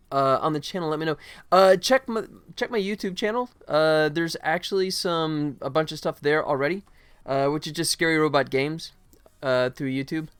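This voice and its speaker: Smooth, higher-voiced man chatting on a livestream. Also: no room sound. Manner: friendly, self-deprecating. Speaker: game developer live streamer. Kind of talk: casual livestream chat to viewers.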